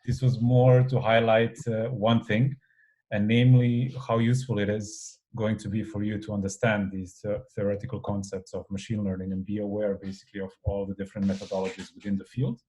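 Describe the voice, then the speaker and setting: A man from Serbia gives a relaxed, rambling guest lecture, full of fillers and restarts. His voice sits low and sounds warm and mellow. He talks like a consultant explaining things to students. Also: brisk, rough recording. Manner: casual, rambling, many fillers. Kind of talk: recorded online lecture.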